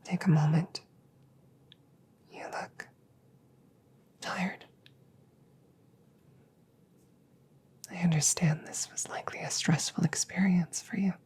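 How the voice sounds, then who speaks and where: A woman playing a protective, guilt-ridden female android sharpshooter in a roleplay audio drama, speaking in slow, measured, soothing phrases with a breathy voice. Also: fairly low pitch, fairly even intonation, darkish tone, close to an everyday voice. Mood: gentle, reassuring. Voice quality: breathy whisper.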